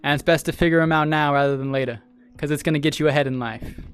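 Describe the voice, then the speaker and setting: A man's voice: on the high side, steady tone, quick pace, clear, resonant tone. A man hosting a casual livestream, chatting with viewers and thanking new followers. He laughs often, repeats himself, and has a voice he says is made for radio and sales. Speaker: streamer and podcast host. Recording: dry close mic with faint music behind.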